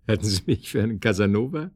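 Middle-aged suitor for radio drama: courteous, hesitant, coaxing, slightly rough voice, dry studio sound. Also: male voice, fairly low pitch, darkish tone, dry recording. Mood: amused, probing. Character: eager, flirtatious man courting a blind date. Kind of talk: naturalistic radio drama acting, phone conversation.

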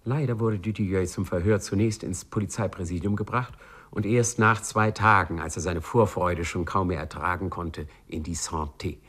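A man's voice: deep voice, normal speed, hardly any pauses, fairly bright tone, husky. A man narrating a 1950s German radio play as a wry literary storyteller.

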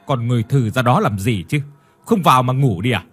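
A storyteller voices a short-tempered older village husband who barks and curses at everyone. His speech is loud and blustering, with a husky grain and big pitch swings. Soft music plays behind. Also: sing-song, moderate pace. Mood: scolding. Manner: scolding, cursing, blustering.

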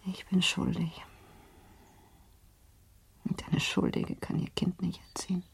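A young wife facing disgrace in a period radio play. Her voice is a very husky near-whisper with creak in it and little melody. She speaks haltingly and quietly, as if shattered. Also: lowish voice, flat delivery, heavily acted. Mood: despairing, resigned. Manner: whispered, halting, subdued delivery.

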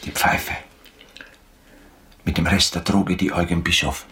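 Haunted aristocratic officer, deep-voiced, halting, intense, radio drama. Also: male voice, steady tone, sharp tone. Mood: haunted, dazed. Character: haunted, brooding Austrian baron, cavalry officer.

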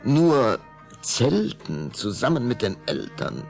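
A man narrating a scripted story dramatically, with measured phrasing and suspenseful pauses. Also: medium pitch, sing-song, vocal fry, darkish tone, soft background music.

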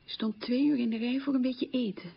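A woman's voice: a little high, steady tone, a little fast, gravelly, slightly rough. An actress playing a middle-aged woman who recalls wartime hunger in a plain-spoken, emphatic way, in a radio drama monologue. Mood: matter-of-fact, insistent. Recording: dull, muffled old radio recording.

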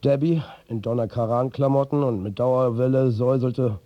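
An actor playing a world-weary, sardonic football manager who narrates a radio drama in a husky, blunt, confiding way. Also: male voice, mid-range voice, fairly even intonation, moderate pace, no room sound, muffled recording, close to an everyday voice. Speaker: actor narrating as the lead character.

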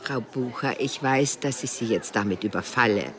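A woman's voice: very deep voice, moderate pace, crowd noise. An actress playing a harried, evasive female boss in a radio drama, formally polite, breaking off her sentences. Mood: flustered, apologetic. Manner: flustered, evasive, broken-off sentences. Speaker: supporting character.

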